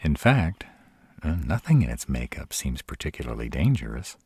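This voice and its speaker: Casting: male actor as gruff tsundere were-dragon boyfriend, animated swings in pitch, close dry sound, tender and guarded, romantic audio drama. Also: no echo, exaggerated voice. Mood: curious, impressed. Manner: tsundere, teasing yet caring, hesitant pauses. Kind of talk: romantic fantasy audio roleplay, speaking to the listener.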